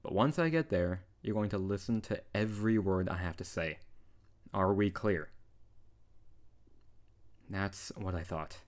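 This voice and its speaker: Casting: man to play scolding but caring young wizard best friend, fairly low voice, close ASMR roleplay delivery. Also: unhurried, pauses often. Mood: exasperated, scolding, then grudgingly caring. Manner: exasperated, bossy, caring, with sighs. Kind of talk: intimate ASMR audio roleplay addressed to the listener.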